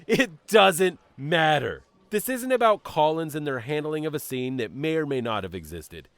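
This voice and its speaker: A man's voice: a little high, sing-song, moderate pace, fairly bright tone, no room sound. A man acting as a stern, condescending police commissioner in an audio drama, sardonic and authoritative with formal phrasing. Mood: angry, stern. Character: stern, bureaucratic male police commissioner, condescending to his former partner.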